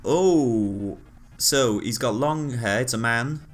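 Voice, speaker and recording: A man co-hosting a podcast in casual, playful chat, quick to laugh and riff. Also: medium pitch. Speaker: podcast co-host.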